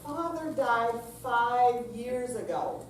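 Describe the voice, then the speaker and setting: A woman playing a patient, coaxing grown daughter in a staged reading, with deliberate, read-out delivery. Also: a little high. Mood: firm, reasoning. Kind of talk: staged readers theater reading. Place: senior center room, staged live reading. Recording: very echoey hall, distant mic, faint noise.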